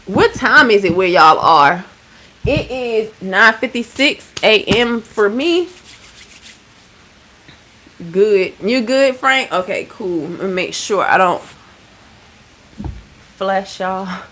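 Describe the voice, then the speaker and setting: A woman in her thirties from Georgia runs a relaxed morning livestream, chatting easily with her viewers. Her voice is bright and forward, and her delivery is loose and friendly, with casual cursing and a string of shout-outs. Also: mid-range voice, takes it slowly, long pauses. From Georgia, USA. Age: 30s. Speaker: livestream host.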